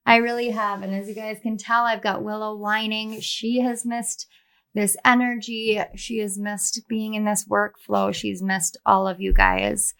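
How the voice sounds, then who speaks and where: A woman with a slightly scratchy, soft voice from throat strain, chatting affectionately as a tarot reader, speaking close to her microphone. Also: medium pitch, creaky. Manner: chatty and affectionate, many fillers. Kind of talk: casual spiritual vlog chat.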